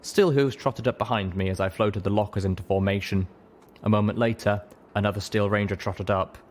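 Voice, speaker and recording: A man reading a story aloud as a dramatic first-person audiobook narrator. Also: on the low side. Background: music.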